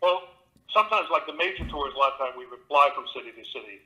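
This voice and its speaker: A man's voice: mid-range voice, animated, fast talker, pushed and bright, poor audio. A middle-aged man, an actor and audiobook narrator, talking casually in an interview from his home studio with a theatrical, expansive manner.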